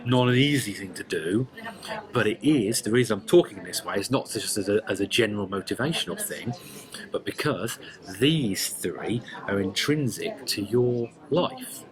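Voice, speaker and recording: Low-voiced man giving a tarot reading. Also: animated, normal speed, crowd noise, poor audio. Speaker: tarot and numerology reader. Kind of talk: one-to-one tarot reading, explanatory.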